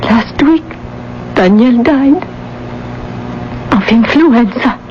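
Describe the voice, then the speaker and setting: A woman's voice: fairly high pitch, animated, drawn-out words. An actress playing a distraught, genteel, trusting lady in a radio drama, with a fragile, hesitant and melodramatic delivery. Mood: horrified. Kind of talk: 1980s radio drama acting. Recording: dull, noisy old radio broadcast.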